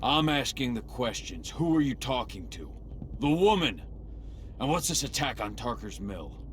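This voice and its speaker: An actor playing a gruff, fiercely protective vigilante in a video game, speaking in a blunt, commanding, intimidating way. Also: male voice, mid-range voice, sing-song, normal speed, slight background noise, lightly acted. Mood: demanding. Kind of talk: video game cutscene acting. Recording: music behind the voice.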